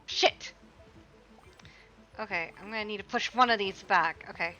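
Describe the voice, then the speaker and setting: A woman streaming a game, chatting casually, swearing and reacting loudly. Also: medium pitch, normal speed, dull audio. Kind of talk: casual livestream gameplay chat.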